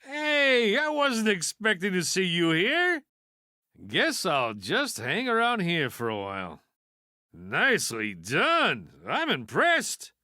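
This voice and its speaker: A man's voice: high-pitched, wildly swooping pitch, takes it slowly, sharp tone, no room sound, studio quality, full character voice. A man voicing a wisecracking toy piggy bank in a video game, a brash know-it-all with a sardonic, folksy delivery. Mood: wry, casual. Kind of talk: video game character voice lines.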